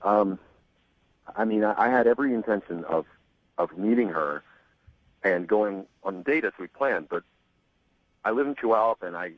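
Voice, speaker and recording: A man on a phone call to a radio show, speaking hesitantly with many pauses, restarts and "um"s. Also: on the low side, no room sound. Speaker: caller on the phone. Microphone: telephone. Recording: muffled phone line.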